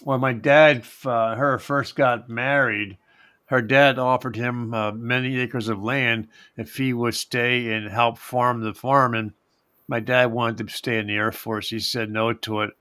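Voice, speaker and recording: A man from Pennsylvania with a light Pennsylvania accent, hosting a rambling, halting live chat. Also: mid-range voice, steady tone, sharp tone, smooth, no room sound, clean studio sound. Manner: rambling, halting, many fillers and restarts. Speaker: host. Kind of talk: casual live-stream chat.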